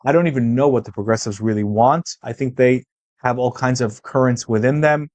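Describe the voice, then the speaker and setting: A man's voice: mid-range voice, fairly quick, darkish tone, dry recording. A man from Israel hosting a political discussion, speaking emphatically and repeating phrases for weight. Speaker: podcast host interviewing a guest.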